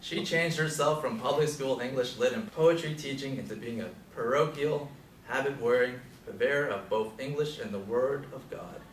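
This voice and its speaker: Wry old male Beat hipster man acting, mid-pitched, opinionated, declaiming on stage in an echoey room. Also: normal speed, close to an everyday voice. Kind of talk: stage play scene reading. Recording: echoey room from far off, faint noise.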